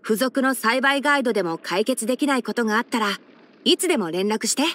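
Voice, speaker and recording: A young male forest ranger chief with fox ears for an anime-style game. The voice is fairly high, light and boyish. He speaks in a measured, articulate way, clever and gentle with a soft wryness. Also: animated. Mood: pleased, warm. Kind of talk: Japanese game character voice-over.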